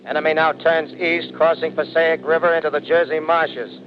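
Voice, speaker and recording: A man voicing a bomber pilot lieutenant barks terse position reports over the radio in an old-time radio play, his voice a little high for a man. Loud engine roar fills the dull, lo-fi broadcast. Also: fairly even intonation, moderate pace, background noise, natural voice. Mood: clipped, steady. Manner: clipped military radio report. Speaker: bomber squadron commander. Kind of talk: 1930s radio drama acting.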